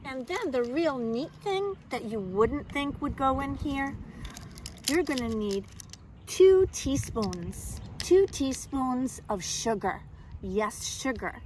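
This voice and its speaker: A woman kindergarten teacher speaking simply and expressively to young children, in a deliberate storytime manner. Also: sits high, animated, darkish tone, slight background noise, rough recording. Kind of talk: children's storybook read-aloud and simple instruction.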